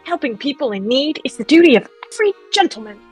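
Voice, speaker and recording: Game role: polite, earnest young gentleman played by a woman, higher-pitched, very animated, formal manners. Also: fast talker, soft background music. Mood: polite, thoughtful. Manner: courteous, formal. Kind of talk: voiced video game playthrough.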